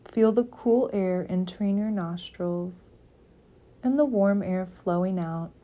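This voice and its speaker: Woman doing a yoga nidra thing, really slow and soft mellow voice, calm, lots of pauses. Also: mid-range voice. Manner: calm, soothing, gentle instruction with long pauses. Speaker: meditation guide. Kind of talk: guided yoga nidra meditation.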